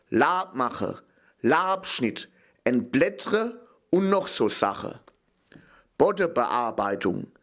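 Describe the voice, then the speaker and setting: A man with a Palatinate German accent reciting a dialect poem as a little grapevine, in deliberate sing-song short phrases. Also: fairly high pitch, a bit bright. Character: little grapevine, proud, affectionate, a bit fearful.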